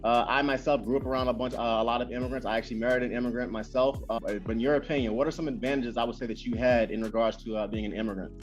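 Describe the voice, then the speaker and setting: A man hosting a casual interview, talking quickly and loosely with many fillers and repeated phrases. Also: medium pitch. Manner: fast, animated, lots of fillers and repeats. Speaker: host. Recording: dull lo-fi sound with faint music.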